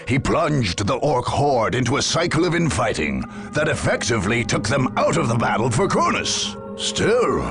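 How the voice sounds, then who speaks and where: An actor playing a grave chronicler, narrating a war history for a video game in a solemn, measured, theatrical manner. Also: male voice, mid-range voice, gravelly. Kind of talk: scripted dramatic game narration. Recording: music behind the narration. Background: music.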